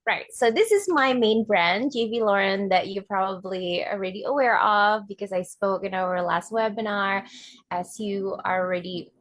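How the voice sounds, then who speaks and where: A woman's voice: mid-range voice, moderate pace, fairly bright tone, no echo. A woman from Indonesia, a fashion designer, giving an earnest webinar presentation as the guest speaker.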